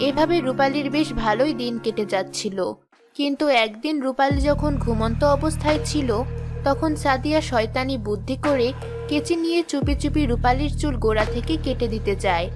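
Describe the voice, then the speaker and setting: A woman storyteller narrates a scripted tale. Her voice is fairly high, and music plays clearly behind her. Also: moderate pace.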